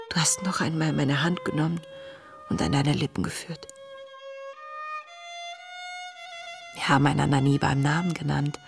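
An actress playing an intense, devout young woman diarist in a radio play, speaking in a hushed, introspective, tender and grieving way. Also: low voice, vocal fry, heavily acted. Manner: hushed, introspective, often near a whisper. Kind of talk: intimate radio play monologue, diary reading.

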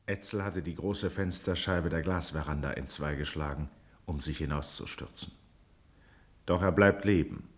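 Low-pitched, grave male narrator. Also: moderate pace. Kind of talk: scripted literary radio drama narration.

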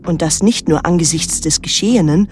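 An actress playing a troubled, watchful daughter who narrates an island mystery in a measured, literary way. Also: female voice, low voice, darkish tone, soft background music, close to an everyday voice. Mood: shocked. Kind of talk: literary radio drama narration and dialogue.

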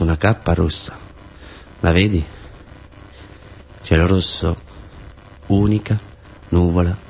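A man's voice: sits low, darkish tone, slight background noise, voice pushed down, low-quality recording. A man voicing a grave, brooding figure in a radio play, in clipped, solemn, sparse phrases. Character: grave, terse, brooding figure counting and watching clouds. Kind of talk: radio theatre monologue.

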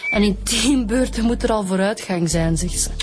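A woman playing a brisk, wry female homicide detective in a naturalistic radio drama. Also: medium pitch, lightly acted. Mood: dry reporting.

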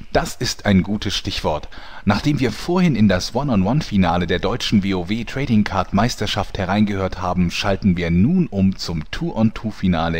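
A tournament commentator hands over to the next match in a brisk broadcaster delivery. His voice sits very low. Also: a little mellow. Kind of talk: comedy audio play acting.

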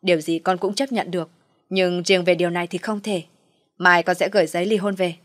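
A woman's voice: lowish voice, fairly even intonation, fairly quick, no echo, close to an everyday voice. Woman plays wronged young husband, stern and resolute, audio drama, dry close recording. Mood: resolute, hurt.

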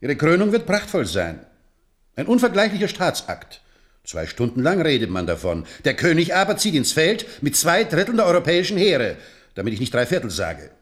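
A man's voice: on the high side, huge pitch swings, moderate pace, a bit bright. A man playing a sly, mockingly deferential courtier with an ironic, rhetorical manner in a German radio play. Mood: emphatic, mocking.

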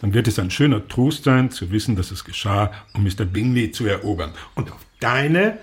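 An actor playing a dry, sardonic middle-aged father in a German radio play, deadpan and ironic. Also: lowish voice, normal speed, breathy edge.

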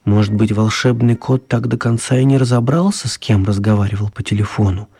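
An actor playing a storyteller, narrating a children's radio tale with measured dramatic pauses. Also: male voice, fairly low pitch, mellow, breathy edge. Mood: dramatic narration. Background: music.

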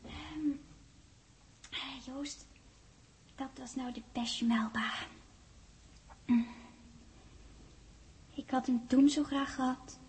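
An actress playing a tender, teasing young woman from a man's memory, in 1960s radio drama style with lyrical, deliberate phrasing. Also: high voice, steady tone, a little mellow, a little hiss or hum. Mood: wistful, haunting.

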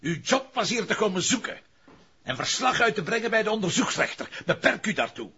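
A blunt Flemish police commissioner in a TV crime drama, brusque and colloquial. His pitch swings a lot, and the soundtrack is muffled with clear noise. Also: very animated, brisk, sharp tone, full character voice. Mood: angry, commanding. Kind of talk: naturalistic TV crime drama acting.

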